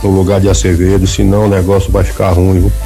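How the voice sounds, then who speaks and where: A man's voice: low voice, flat delivery, fast talker, creaky, dark tone. A Brazilian man giving a casual opinion on football tactics as a listener on the radio. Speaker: listener sending a voice message. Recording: listener voice message over faint music.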